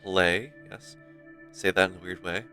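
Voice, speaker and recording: Low, bright-voiced man streaming games. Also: steady tone, a little slow. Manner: rambling, playful. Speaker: variety game streamer. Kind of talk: casual livestream chat and commentary. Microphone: close streaming microphone. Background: faint game music.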